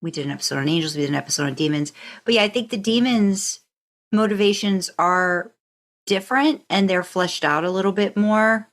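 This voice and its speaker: A woman's voice: mid-range voice, fairly quick. A woman hosting a podcast, talking casually with loose, wandering, informal phrasing. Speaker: podcast host. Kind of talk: casual conversational discussion.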